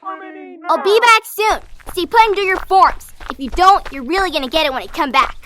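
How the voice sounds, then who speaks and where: Cocky, bossy young girl teacher played by a woman, high bright voice, blunt cartoon-style delivery. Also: moderate pace, a little hiss or hum. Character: brash, tough tomboy girl martial-arts teacher. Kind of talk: animated-style comic dub acting.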